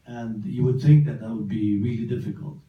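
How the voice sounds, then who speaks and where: A man's voice: medium pitch, normal speed, muffled recording. A man being interviewed after a film screening, hesitant at first and then joking, recorded from afar in a cinema. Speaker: actor guest. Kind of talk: post-screening Q&A answer. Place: cinema auditorium. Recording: echoey hall, distant, faint ambience.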